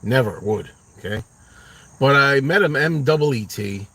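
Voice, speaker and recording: A man's voice: mid-range voice, lively intonation, normal speed, fairly bright tone, husky, rough recording. A middle-aged man with a Brooklyn accent, chatting casually from his car and answering viewers in a rambling, self-deprecating way. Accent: New York, Brooklyn. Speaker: live stream host. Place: parked car.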